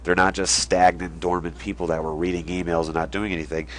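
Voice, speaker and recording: Stuffy-nosed man with a very low voice. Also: little pitch movement, a little fast, slight background noise. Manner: conversational, drawing on a board while explaining. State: stuffy nose from a cold. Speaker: online marketing video host. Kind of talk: casual explainer video.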